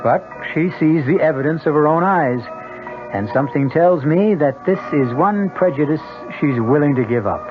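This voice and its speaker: A man narrating as host of a 1970s radio mystery series in a wry, deliberate storytelling manner. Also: a little high, animated, faint music behind. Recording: muffled 1970s radio broadcast.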